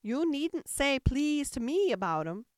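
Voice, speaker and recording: A woman's voice: high voice, big pitch swings, unhurried, no echo, clean studio sound, pitched above the performer's own voice. A woman voicing a bossy, snappish female sheep from a storybook, curt and scolding. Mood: grumbling, curt. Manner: curt, scolding, barking commands. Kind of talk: storybook character voice.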